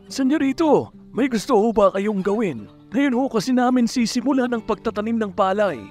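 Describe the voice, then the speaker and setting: A man's voice: very high voice, moderate pace, a little mellow, faint music behind. A man playing a respectful, busy farm overseer in a radio drama, obliging toward his master and handing out instructions. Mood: respectful, eager. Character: deferential, busy male farm overseer giving orders.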